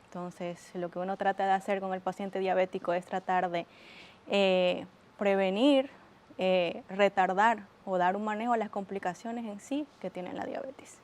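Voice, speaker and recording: A woman's voice: mid-range voice, fairly even intonation, moderate pace, darkish tone. A young woman from Argentina with a Bolivian Spanish accent, a doctor guest on a TV interview, explaining earnestly with some hesitations. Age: young adult.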